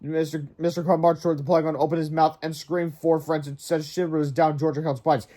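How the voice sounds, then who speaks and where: A man's voice: on the high side, little pitch movement, fairly quick, dark tone. A man narrating a storybook aloud in a dramatic way, with casual asides.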